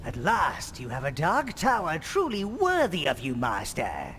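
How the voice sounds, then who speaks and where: A man's voice: a little high, huge pitch swings, a little slow, fairly bright tone, a little hiss or hum, exaggerated voice. An actor playing a scheming old male minion advisor in a video game cutscene, gleefully sinister. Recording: game audio with music behind.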